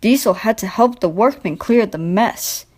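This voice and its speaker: A woman's voice: medium pitch, moderate pace, a little mellow. A woman narrating a scripted children's storybook.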